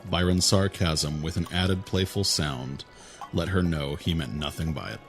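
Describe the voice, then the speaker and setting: Deep-voiced male story narrator. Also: unhurried, faint music behind. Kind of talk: scripted fantasy narration.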